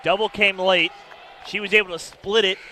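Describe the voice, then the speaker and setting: A man's voice: high voice, steady tone, normal speed, sharp tone, a faint crowd. A man giving live basketball analysis in a conversational way, repeating phrases for emphasis. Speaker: color commentator. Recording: background court noise. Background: basketball court noise.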